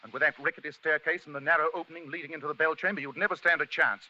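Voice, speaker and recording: An authoritative British gentleman sleuth, high-voiced and piercingly bright. His delivery is crisp, theatrical radio-play acting. The recording is an old, muffled broadcast with music under it. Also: fairly even intonation, rapid-fire. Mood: urgent. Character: commanding, shrewd English gentleman detective.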